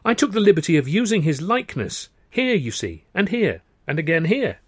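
Man acting a high-pitched, innocently polite young Englishman. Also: huge pitch swings, fairly quick, gravelly, heavily acted. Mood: coaxing. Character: young English performer feigning polite, wide-eyed innocence.

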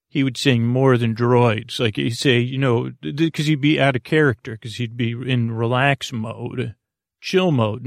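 A male podcast host with a mid-range, heavily creaky voice in a dry, echo-free recording. He improvises a wandering monologue, halting often and drifting from one half-finished thought into the next. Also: medium pitch. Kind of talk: rambling, meandering improvised monologue.

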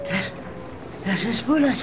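A man playing a wounded, frightened figure in a horror audio drama, halting and anxious. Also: very high voice, wildly swooping pitch, noisy background, heavily acted.

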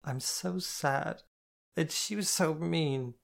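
Woman narrator doing drunk young woman, crying, slurred, slow, higher voice, a little gravelly. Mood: tearful.

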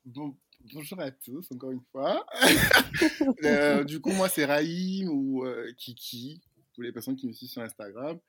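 A man in his twenties from Ivory Coast, a podcast guest chatting casually in a slangy, animated way, often laughing. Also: fairly high pitch, unhurried. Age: 20s. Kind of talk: casual chat.

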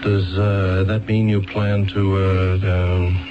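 A man's voice: low voice, flat delivery, slow, highs cut off. An actor playing an exasperated, earnest male defense lawyer in a radio drama, stammering and pleading insistently. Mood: exasperated, probing. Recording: band-limited 1970s radio broadcast with music.

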